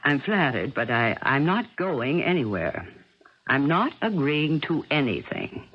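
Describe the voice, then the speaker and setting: A woman playing an anxious yet resolute middle-aged mother in a radio mystery drama, with a husky, theatrical delivery. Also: low voice, measured pace, full character voice. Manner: expressive, theatrical. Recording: dull old radio recording.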